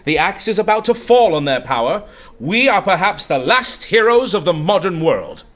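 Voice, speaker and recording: Radio drama role: zealous policeman, high-pitched, very animated, melodramatic and emphatic. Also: moderate pace. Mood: zealous, urgent. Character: zealous male undercover police recruiter fighting anarchists. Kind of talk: radio play acting.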